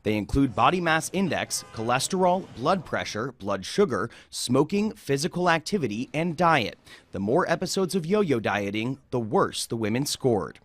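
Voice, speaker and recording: Animated American male news correspondent. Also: mid-range voice, normal speed. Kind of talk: scripted news report.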